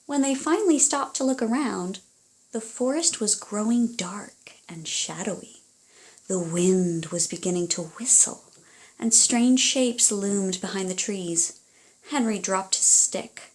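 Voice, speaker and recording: A woman reading aloud for children and parents, in an expressive, deliberate storytelling manner. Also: mid-range voice, low-quality recording. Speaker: storybook reader.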